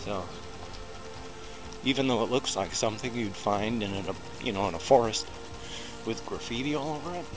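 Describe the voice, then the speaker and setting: A man's voice: fairly low pitch, moderate pace, long pauses, over music. A middle-aged American man chatting as he walks through a small town beside a busy road, holding a small recorder close to his mouth, rambling and poking fun at himself. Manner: chatty, rambling, self-deprecating narration while walking. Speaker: vlogger. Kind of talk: casual walking vlog. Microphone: small handheld recorder held close to the mouth. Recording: outdoor traffic noise. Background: loud passing traffic.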